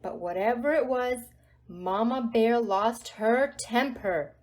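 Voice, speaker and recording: A woman's voice: on the high side, takes it slowly. A woman narrating a children's storybook aloud expressively, with dramatic emphasis on key words. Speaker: storybook reader.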